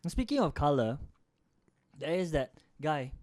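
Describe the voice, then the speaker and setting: A man's voice: a little high, very animated, a little slow, darkish tone. A young man with a Singaporean accent, rambling and joking as a co-host in a casual podcast chat.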